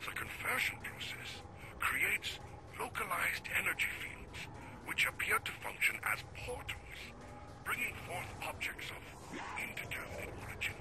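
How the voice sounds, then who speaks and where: An actor playing a grave, learned scientist recording formal, measured research logs for a video game, through an old radio filter. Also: male voice, high-pitched, very animated, creaky, heavily acted. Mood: fascinated, pondering. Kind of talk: scripted video game audio log narration. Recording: noisy old radio-style audio log.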